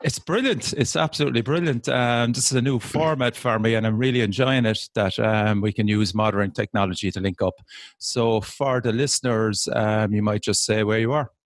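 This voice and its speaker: Guy hosting a music podcast, low voice, dry close sound, really gushing about stuff. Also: moderate pace, dry recording. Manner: enthusiastic, effusive praise. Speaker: podcast host. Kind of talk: music podcast talk and interview.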